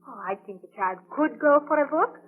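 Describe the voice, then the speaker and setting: An actress playing an anxious, tender young baroness, earnest and formal in 1930s radio drama style. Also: female voice, high voice, lively intonation, fairly quick. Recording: tinny, muffled 1930s radio broadcast.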